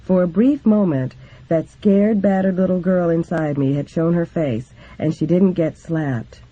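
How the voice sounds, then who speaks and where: A man's voice: a little high, a little slow. A man reading a memoir aloud as scripted narration, in a dull lo-fi recording. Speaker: reader of a book.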